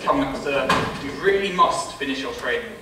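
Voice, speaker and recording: A man's voice: medium pitch, animated, normal speed, a loud crowd, heavy background noise, natural voice. A young man playing an exasperated servant tutor in a stage pantomime, deferential yet scolding and theatrical. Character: exasperated male servant tutor to a childish prince. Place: theatre stage, filmed from far camera. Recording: roomy theatre recording from far off, music.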